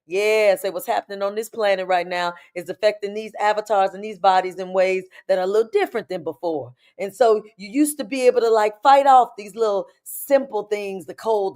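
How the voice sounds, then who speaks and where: A woman with a hoarse, raspy voice, hosting a casual live-stream chat as a spiritual coach and talking familiarly to her viewers. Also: medium pitch, a little fast, few pauses, a little mellow. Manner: chatty, familiar, calls viewers "baby" and "y'all".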